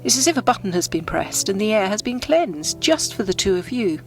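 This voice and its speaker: A woman narrates a scripted guided meditation in a gentle, unhurried, descriptive storytelling manner. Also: mid-range voice, very animated. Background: background music.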